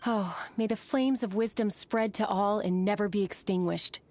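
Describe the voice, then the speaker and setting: A woman's voice: medium pitch, moderate pace, darkish tone, no room sound, lightly acted. A woman voicing a polite, friendly innkeeper in a video game, explaining things courteously. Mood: informative. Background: music.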